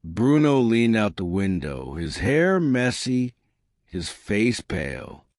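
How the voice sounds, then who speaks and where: Slow, low-voiced male storyteller. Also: big pitch swings, darkish tone, no room sound, clean studio sound. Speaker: storyteller narrator. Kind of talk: scripted comic storytelling narration.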